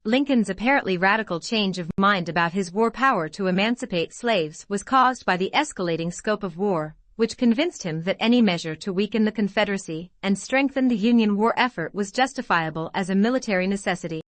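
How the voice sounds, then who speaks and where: A woman of medium pitch reads a formal passage aloud. The recording is dry, with no room sound around her voice. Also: expressive, fairly quick, hardly any pauses, no echo.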